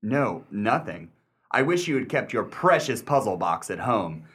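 An actor playing an exasperated, analytical gentleman in a period mystery radio play, speaking with formal, precise diction. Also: lowish voice, big pitch swings, measured pace, slightly rough, heavily acted. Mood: tense, exasperated.

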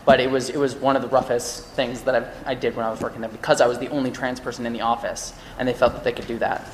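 A man's voice: mid-range voice, flat delivery, quick pace, a little hiss or hum. Earnest rambling man in an echoey hall. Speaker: podcast panelist. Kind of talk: casual panel discussion. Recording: echoey live room, long reverb.

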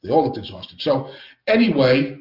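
A man's voice: medium pitch, big pitch swings, normal speed. Man hosting in a roomy, muffled recording. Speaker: podcast host. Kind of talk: casual chat and interview. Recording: roomy, muffled band-limited podcast sound.

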